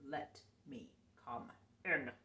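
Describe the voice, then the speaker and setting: A woman reading aloud to children, voicing a menacing male pig in a slow, low, drawn-out growl, recorded in an echoey room a little away from the mic. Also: pushed and bright, far from the mic, heavily acted.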